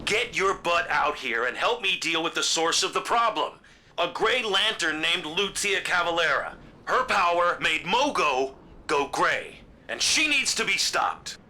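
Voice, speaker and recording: An actor playing a brash, cocky space-cop superhero in a video game, blunt and swaggering. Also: male voice, fairly high pitch, lively intonation, a little slow, sharp tone, a little hiss or hum, heavily acted. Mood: cocky, urgent.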